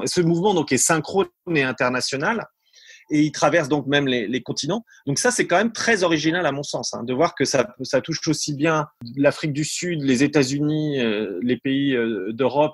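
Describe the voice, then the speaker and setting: A male academic comments off the cuff in a mid-range, rough-edged voice. He often stops to repeat a word or add a tag as he reasons. The sound is dry, with little room in it. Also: mid-range voice, lively intonation, brisk, no echo. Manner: hesitant, repeating words, tagging phrases with "hein". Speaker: academic historian, interview guest. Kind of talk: unscripted analytical commentary.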